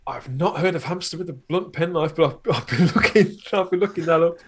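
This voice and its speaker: A man with a fairly high voice talks as a podcast panellist. His delivery is informal and conversational, like someone chatting with co-hosts. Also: fairly quick. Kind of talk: casual conversation.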